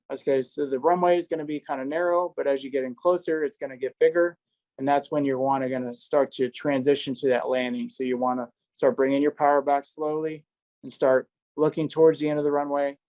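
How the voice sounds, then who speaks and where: A man's voice: a little high, a little mellow, dry recording, poor audio. A man who works as an air traffic controller recounts an incident in an informal, conversational way, often quoting dialogue as he retells it. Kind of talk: conversational first-person recounting in an interview.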